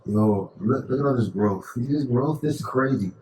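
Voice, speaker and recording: A man's voice: medium pitch, normal speed, darkish tone, roomy sound, recorded from a distance, a little hiss or hum, poor audio. A young man co-hosting a podcast, laid-back and teasing, mostly in short replies. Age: young adult. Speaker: podcast co-host. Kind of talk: casual chat.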